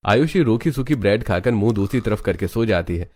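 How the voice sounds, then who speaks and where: Steady male cartoon narrator. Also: on the low side, brisk. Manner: steady storytelling. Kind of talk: scripted narration.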